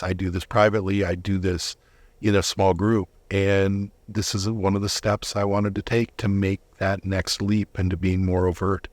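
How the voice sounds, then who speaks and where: A man's voice: deep voice, dry recording. A man giving earnest, explanatory answers as a guest in a conversational interview. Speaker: interview guest, volunteer Bible reader.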